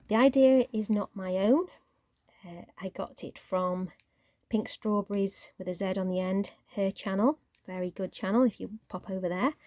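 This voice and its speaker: A woman hosting a crafting channel, chatting casually through her project, a little croaky and sometimes dropping to a near-whisper. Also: fairly low pitch, no echo. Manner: chatty, relaxed show-and-tell, drops to near-whisper while handling paper. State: croaky voice, clearing throat.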